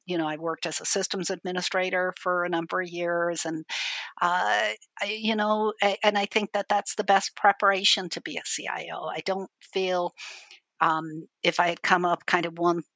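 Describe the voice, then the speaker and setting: A woman's voice: lowish voice, flat delivery, moderate pace, a bit bright, no room sound. A woman from Ireland, a university IT chief, explaining her work at length in a casual interview, with frequent restarts.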